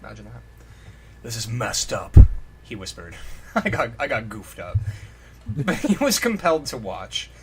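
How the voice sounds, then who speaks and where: A man's voice: medium pitch, wildly swooping pitch, moderate pace, a bit bright, a little hiss or hum. A man co-hosting a comedy podcast, reading a story aloud and mocking its wording in casual banter. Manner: jokey, mocks the story's wording. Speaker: podcast co-host reading fan fiction aloud.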